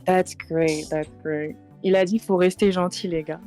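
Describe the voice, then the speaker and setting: A woman's voice: on the low side, fairly even intonation, a little slow, darkish tone, faint music behind. A woman streamer interpreting for a guest, chatty and a bit nervous, with many fillers and restarts. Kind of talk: casual live stream chat and interpreting.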